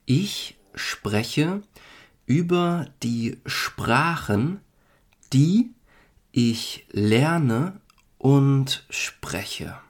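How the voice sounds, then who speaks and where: Guy from germany doing a learner podcast, super slow and careful, mid pitched voice. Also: big pitch swings. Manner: slow, deliberate, clearly spaced phrases. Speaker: podcast host teaching German. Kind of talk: slow scripted lesson for learners.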